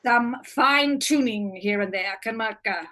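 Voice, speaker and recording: A woman's voice: on the high side, measured pace, fairly bright tone. A woman playing a gloating wicked witch. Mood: scheming. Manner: gloating, menacing, with cackling laughs. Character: scheming wicked witch running a hospital wing. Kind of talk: livestream script reading.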